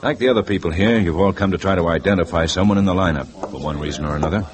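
An actor playing a relaxed, reassuring police lieutenant in a 1950s radio drama. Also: male voice, deep voice, racing, crowd noise, lightly acted. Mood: calm, reassuring.

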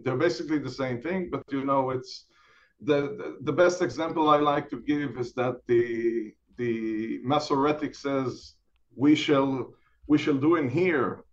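Lo-fi dull audio, man, native Hebrew speaker, mid-range voice, halting measured delivery, informal debate explanation. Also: medium pitch. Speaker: debate guest.

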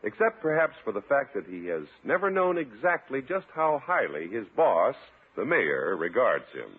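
A man's voice: mid-range voice, very animated, normal speed, husky. A man announcing a 1950s radio show and reading the sponsor's copy with a polished, emphatic sales delivery. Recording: muffled 1950s radio recording, faint hiss.

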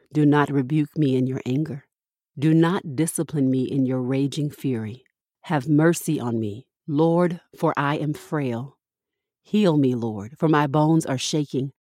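A woman reading scripture from a script as a podcast host, with measured, deliberate phrasing. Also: low-pitched, dark tone, dry recording, clean studio sound.

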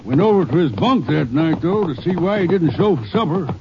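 Rancher guy, high lively voice, clipped cowboy talk, hissy dull old radio. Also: a little fast. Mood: plain. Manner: laconic, clipped Western talk. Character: helpful rugged male rancher. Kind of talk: 1940s radio acting.

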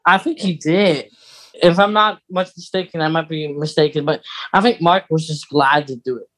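Casual podcast co-host, mid-range pitch, conversational and rambling, often self-correcting. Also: normal speed, fairly bright tone. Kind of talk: casual chat.

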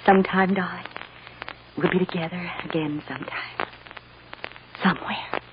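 An actress playing a tender, sorrowful mother in a hushed, halting 1940s radio drama style. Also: fairly low pitch, steady tone, lightly acted. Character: tender, sorrowful mother comforting her small daughter. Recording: muffled, noisy old radio recording.

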